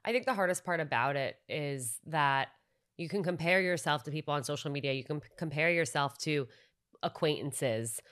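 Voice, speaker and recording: Young woman, podcast co-host, lower-pitched, reflective, reassuring, casual. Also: moderate pace, fairly bright tone. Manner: reflective, reassuring, builds long points. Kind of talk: casual chat.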